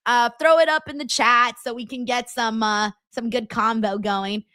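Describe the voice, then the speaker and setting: A woman hosting a live stream, chatting casually with her viewers and reading out their comments, with a very high-pitched voice. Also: fairly even intonation, moderate pace, sharp tone, dry recording, clean studio sound. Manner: chatty, reads viewer comments aloud with filler words. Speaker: streamer and host.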